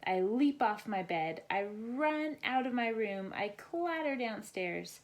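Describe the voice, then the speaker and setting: A woman narrating a children's bedtime story in deliberate, short, simple phrases. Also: on the high side, lively intonation. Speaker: storybook reader.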